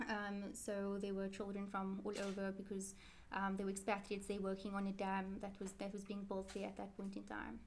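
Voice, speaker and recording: A woman's voice: mid-range voice, monotone, brisk, few pauses, a little hiss or hum. A woman, answering interview questions in a chatty, rambling way and correcting herself often. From South Africa. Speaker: interview guest, Quran teacher.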